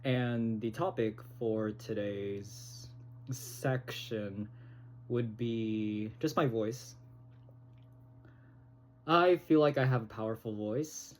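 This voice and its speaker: A man talking to his phone camera in a casual vlog, halting and hesitant, with many pauses. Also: on the low side. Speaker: vlogger. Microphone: phone recording.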